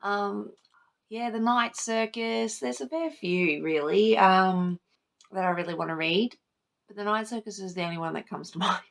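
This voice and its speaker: Creaky-voiced woman chatting casually about favourites. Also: medium pitch, moderate pace. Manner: informal, sweary, gushing about favourites. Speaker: book vlogger, channel host. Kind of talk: casual chat to camera, book tag video.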